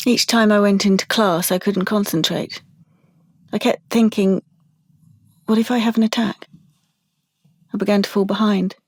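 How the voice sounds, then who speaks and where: A woman's voice: mid-range voice, steady tone, normal speed, long pauses, no room sound, clean studio sound, close to an everyday voice. An actress playing a withdrawn, haunted young woman in an audio drama monologue, speaking hesitantly and intimately with a British accent. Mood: troubled, reflective. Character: withdrawn, haunted young woman traumatised by surveillance and a leaked photo.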